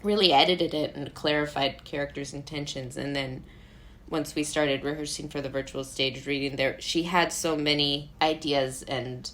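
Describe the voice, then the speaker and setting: Low-voiced American woman, chatty and rambling. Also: normal speed. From Brooklyn, New York, USA. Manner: rambling, animated asides with frequent "like" and self-interrupting laughs. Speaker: playwright, director and actor, interview guest. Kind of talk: casual conversational interview.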